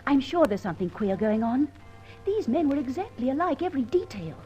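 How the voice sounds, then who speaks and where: A woman's voice: high-pitched, animated, fairly quick, mellow, soft background music. An actress playing an eager, observant British woman, a sleuth's easily exasperated accomplice, bantering insistently in an old-time radio detective drama. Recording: dull old radio recording.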